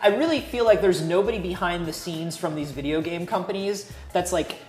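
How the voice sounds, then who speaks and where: Low-voiced woman in an emphatic chat. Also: fairly quick. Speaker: panelist on a video game talk show. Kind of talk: casual panel debate. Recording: roomy echo, music underneath.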